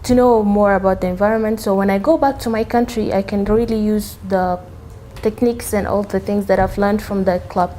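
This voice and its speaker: A woman from South Sudan with a mellow voice speaks hesitantly and personally, over faint background noise. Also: medium pitch, fairly even intonation, a little fast. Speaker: panel guest. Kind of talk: panel discussion answer.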